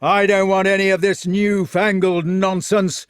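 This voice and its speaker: A man voicing a fat, bullying villain of a knight in a storybook, shouting with scornful bluster. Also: sits high, level tone, measured pace, clean tone, no room sound, clean studio sound, pitched above the performer's own voice. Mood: scornful, impatient.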